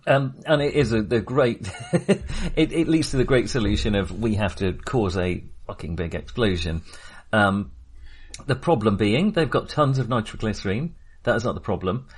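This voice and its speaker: A man with a fairly low voice talks through a film as a podcast co-host. His delivery is casual and halting, feeling its way through each thought with a wry edge. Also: breathy edge.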